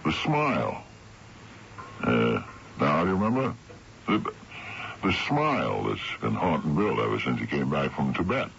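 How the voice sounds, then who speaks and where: An actor playing an easygoing, amused uncle in a radio drama, with a gravelly voice and folksy manner. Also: male voice, extremely low pitch, very animated, unhurried, pauses often, a bit bright, exaggerated voice. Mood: amused, coaxing. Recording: dull, noisy old radio broadcast.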